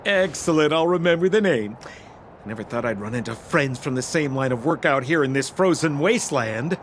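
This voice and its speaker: A man's voice: medium pitch, lively intonation, moderate pace, a little hiss or hum, natural voice. A man playing a sly, theatrical, ingratiating treasure-hunting con man in a video game. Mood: chummy.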